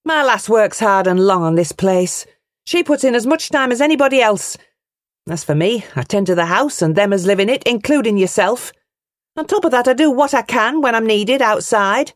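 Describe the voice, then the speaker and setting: A woman voicing a proud, sharp-tongued farm mother in her thirties in a storybook. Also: fairly high pitch, expressive, moderate pace, no room sound, clean studio sound, voice pushed up, close to an everyday voice. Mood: proud, indignant.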